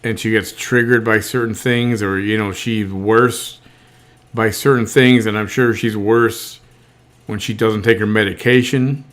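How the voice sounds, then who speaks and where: A male host chatting casually on a live stream, often halting and repeating words as he thinks aloud. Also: lowish voice, steady tone, a bit bright.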